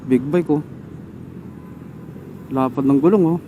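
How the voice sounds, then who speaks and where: A man's voice: medium pitch, takes it slowly, warm tone, slight background noise. A man with a Filipino accent casually muttering and swearing to himself as he vlogs from a motorcycle through a helmet intercom mic. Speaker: motorcycle vlogger. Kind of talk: casual motovlog chat while riding. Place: outdoors on a motorcycle in traffic. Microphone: helmet intercom microphone.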